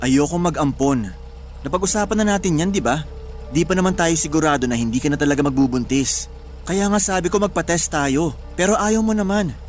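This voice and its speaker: An actor playing a hot-headed, joking husband with a Filipino accent in animated, colloquial radio drama dialogue. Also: male voice, a little high, a little fast, faint music behind, close to an everyday voice. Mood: pleading, frustrated. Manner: animated, colloquial, exasperated or teasing.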